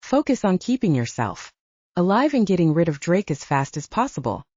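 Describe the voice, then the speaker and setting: A woman's voice: on the low side, very animated, moderate pace, darkish tone, breathy edge, no room sound, lo-fi sound. A woman voicing a defiant, insistent young werewolf girlfriend in an audiobook. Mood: stubborn, insistent. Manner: scoffing, pointed, insistent.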